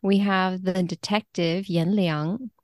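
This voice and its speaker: Woman, slightly low voice, dry close sound, podcast guest, conversational book talk. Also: steady tone, slow, darkish tone, dry recording. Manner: informal, conversational. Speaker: podcast guest, literary translator. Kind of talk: casual book discussion.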